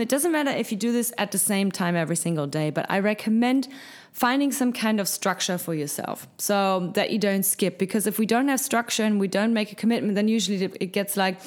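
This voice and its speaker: A woman hosting a casual solo podcast, her voice husky from lack of sleep, talking in an upbeat, encouraging, motivational way. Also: medium pitch. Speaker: podcast host. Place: hot top-floor apartment.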